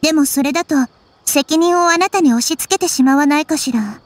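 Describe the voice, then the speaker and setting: A woman voicing a small, wise, gentle childlike goddess in an anime game, speaking in a measured, thoughtful way. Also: high voice, no echo, natural voice. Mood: concerned, gentle. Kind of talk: Japanese anime game voice acting. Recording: studio voice over game music.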